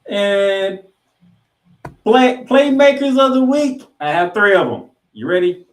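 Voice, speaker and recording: A man's voice: high-pitched, lively intonation, takes it slowly. Roomy-sounding man hosting a sports podcast. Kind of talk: sports recap commentary with stats. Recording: roomy, echoing room sound.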